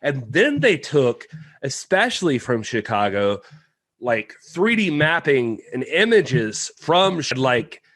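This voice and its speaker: A man co-hosting a film podcast in an easy, rambling way, full of fillers and self-deprecating asides. His voice is mid-pitched, and the recording is dry and close. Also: very animated, takes it slowly, fairly bright tone, no echo. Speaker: podcast co-host. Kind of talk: casual film podcast chat.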